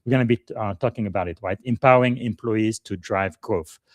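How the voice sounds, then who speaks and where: A man's voice: fairly low pitch, a little fast, dry recording. Man hosting a live podcast, dry sound. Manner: warm formal introductions with many "uh" fillers. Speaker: podcast host and leadership coach.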